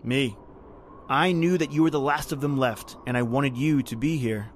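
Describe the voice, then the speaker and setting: A man's voice: medium pitch, moderate pace, a little hiss or hum, natural voice. A man playing a grim, bitter factory overseer with folksy speech in an old-time style radio horror drama. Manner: folksy, bitter, uses "aye" and "ain't". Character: grim, taunting male factory overseer on an island. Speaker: actor in audio horror drama.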